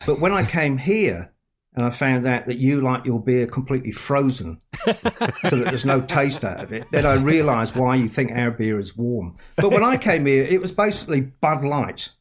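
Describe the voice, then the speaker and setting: A man's voice: mid-range voice, lively intonation, moderate pace. A middle-aged man from England with a London accent, an author chatting on a podcast over a video call, teasing and laughing as he talks. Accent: London English. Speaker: author guest. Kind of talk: casual podcast chat.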